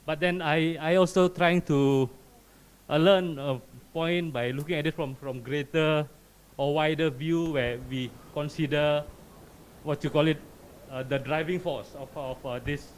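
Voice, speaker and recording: Hesitant man with a higher-pitched voice. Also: fairly even intonation, unhurried, slight background noise, poor audio. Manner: hesitant, searching, tentative. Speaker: audience questioner from an advocacy group. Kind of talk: spoken question from the floor.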